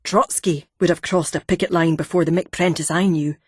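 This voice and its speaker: A woman voicing a gruff, wheezy older ex-miner man with a deep, gravelly voice, a Fife accent and a blunt, irritated manner. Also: fairly even intonation, fairly quick, no room sound, clean studio sound. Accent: Fife Scottish.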